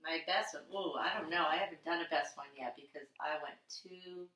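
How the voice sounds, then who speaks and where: A woman's voice: low voice, normal speed, sharp tone, recorded from a distance, a little hiss or hum. A woman teacher giving a patient handwriting lesson to young children, in a sing-song way with simple repeated phrases and questions. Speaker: primary school teacher. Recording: echoey room, voice away from the mic.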